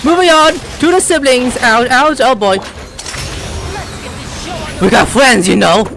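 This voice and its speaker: Excitable, wildly swooping male gamer. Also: very high voice, measured pace, gravelly. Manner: playful, exclaiming, shouts attack names. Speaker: gameplay YouTuber. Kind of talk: excitable gaming commentary. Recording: game music behind commentary.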